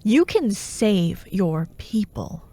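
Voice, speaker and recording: Woman acting as dark warlord villain, very unhurried, breathy, half-whispered, grandiose, persuasive, menacing, ASMR fantasy roleplay. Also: mid-range voice, sing-song. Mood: coaxing. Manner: slow, grandiose, coaxing, mock-reasonable.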